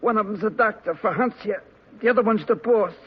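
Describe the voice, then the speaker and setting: A frightened wounded crook in a 1950s radio drama, his voice high and husky. He pleads and whines in a panic. The broadcast sounds muffled and lo-fi. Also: brisk. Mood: cowed. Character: wounded male crook, whiny and scared.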